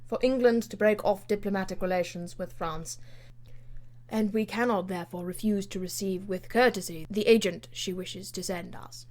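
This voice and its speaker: A woman voicing a grave old English diplomat, a man who speaks in a measured, formal, guarded way. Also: medium pitch, steady tone, a little mellow, lightly acted.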